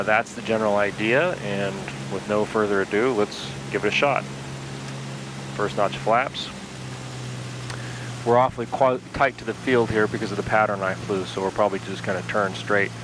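A man with a fairly low voice narrates his home-cockpit flight in a relaxed, step-by-step way. He speaks into a headset mic. A steady engine drone sits clearly under his voice. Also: moderate pace, noisy background. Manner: relaxed, talking through each step aloud. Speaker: flight sim video host. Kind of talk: casual instructional narration while flying. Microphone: aviation headset.

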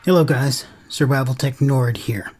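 A man's voice: medium pitch, normal speed, a little mellow, slightly rough. Male radio-hobby narrator over music. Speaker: amateur radio channel host. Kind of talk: scripted tutorial narration.

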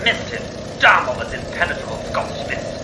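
A man plays a panicky, bumbling pursuer in a radio farce. The voice is very high and swoops about, shouted from a distance over loud engine noise. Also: big pitch swings, fairly quick, reverberant. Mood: panicked. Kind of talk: farcical radio play shouting.

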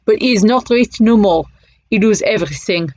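A weary middle-aged French cafe owner, put on by a woman narrator speaks in halting broken English with a heavy French accent and a mournful lilt. The voice sits mid-range. The audio is muffled and lo-fi. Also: mid-range voice, expressive, darkish tone, dry recording, natural voice. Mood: sorrowful.

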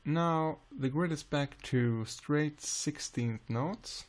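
A man with a Swedish accent calmly explaining music software in a screen-recorded tutorial, pausing often with "uh". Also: on the high side. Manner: calm, unhurried explaining with frequent "uh" pauses.

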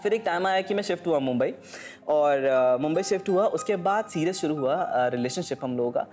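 A young man from Delhi, a contestant on a couples show, telling their love story casually, with relaxed pauses. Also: on the high side, soft background music. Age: young adult. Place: indoor TV studio set.

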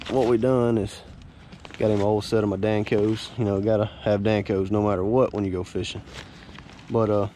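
Low-voiced man chatting outdoors. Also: mellow, a little hiss or hum. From Florida Panhandle, US. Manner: easygoing, rambling, coaching kids between asides. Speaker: fishing charter captain. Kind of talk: casual outdoor vlog talk. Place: outdoors by a neighborhood pond. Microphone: wearable action camera.

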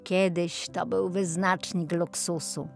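Lady reading a kids audiobook, expressive, takes her time, music in the background. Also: lowish voice, slow, gravelly. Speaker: storyteller. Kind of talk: expressive children's audiobook narration.

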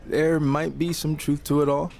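An actor playing a smug, conspiring wrestling champion with a gravelly, laid-back, coy delivery in a video game. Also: male voice, on the high side, flat delivery, normal speed, a little mellow. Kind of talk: video game story cutscene dialogue.

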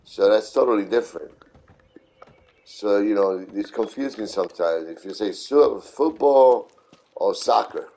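Middle aged new guy, lowish voice, chatting thru a game on stream, takes his time and repeats stuff. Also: dark tone. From New York City, United States. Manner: unhurried, repeats himself, instructive. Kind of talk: casual live gameplay commentary.

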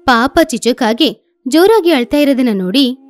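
High-pitched woman storyteller. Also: animated, moderate pace, soft background music. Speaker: narrator. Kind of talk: scripted children's story narration.

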